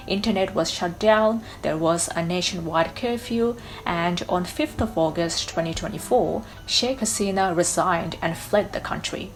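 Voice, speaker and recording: A woman's voice: lowish voice, a little hiss or hum, low-quality recording. A young woman from Bangladesh narrating a scripted history explainer video in an earnest, personal and emphatic way. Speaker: YouTuber.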